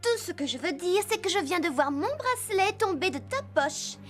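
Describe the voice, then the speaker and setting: A woman's voice: very high-pitched, animated, normal speed, faint music behind. A woman voicing a suspicious, haughty young girl in a dubbed cartoon, speaking in a pointed, accusatory way. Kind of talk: dubbed animated series acting.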